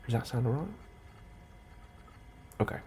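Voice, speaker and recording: A man doing a soft, breathy ASMR role-play as an unhurried, politely understated officer interviewing a newcomer. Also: medium pitch. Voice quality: soft, breathy, often near whisper. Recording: steady ambient noise behind the voice. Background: computer and ambience sounds.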